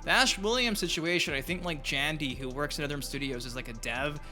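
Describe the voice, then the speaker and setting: Bright-voiced man hosting a gaming vlog. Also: on the high side, a little fast. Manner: colloquial, profane, addresses viewers directly. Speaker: host of a gaming news channel. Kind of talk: casual opinion commentary to viewers. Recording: music behind the voice. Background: music.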